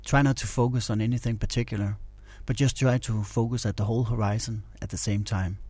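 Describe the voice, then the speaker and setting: Low, mellow male meditation guide. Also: brisk, no room sound. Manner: calm, soothing, instructional.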